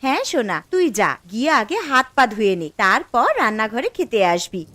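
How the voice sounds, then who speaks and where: A busy cartoon housewife, played by a woman, practical and quick to scold. Her high voice swings lively in pitch. The sound is dry and close. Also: dry recording, heavily acted. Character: busy young housewife and mother, nagging but caring. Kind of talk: cartoon voice acting.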